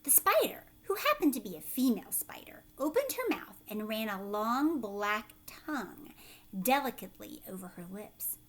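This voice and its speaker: A woman with a fairly high, very lively voice reads a children's book aloud like a teacher. She pauses for drama and stops to explain things and put questions to her young listeners.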